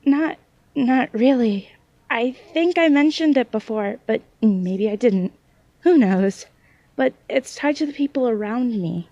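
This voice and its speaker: A woman playing a hesitant, self-doubting young psychic in a naturalistic audio drama, speaking in halting phrases. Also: fairly high pitch, warm tone, dry recording, muffled recording.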